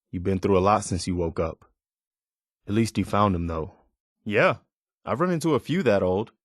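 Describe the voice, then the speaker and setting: Earnest, low-voiced wasteland militia leader. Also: male voice, no room sound, studio quality. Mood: calm, concerned. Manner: earnest, measured, sincere. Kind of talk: video game character dialogue.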